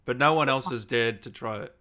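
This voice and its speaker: A man's voice: medium pitch, fairly quick, a crowd in the background. A man with an Australian accent asking questions as the host of a casual, conversational interview.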